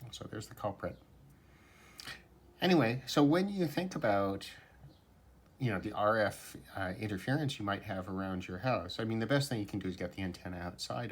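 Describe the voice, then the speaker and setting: A man telling a story casually to camera as a hobbyist vlogger, in a conversational, unhurried, mildly rueful way. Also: low-pitched, husky. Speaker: shortwave radio hobbyist vlogger.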